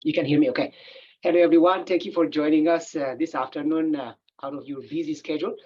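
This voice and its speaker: A man with an Ethiopian accent gives a webinar talk over a video call, his voice fairly high for a man and husky. He speaks in a halting way, filling pauses with "uh". The call audio sounds muffled and dull. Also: fairly even intonation, dark tone. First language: not English; English is his third language. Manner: halting, with frequent filler sounds. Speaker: endocrinologist presenter. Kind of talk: webinar presentation.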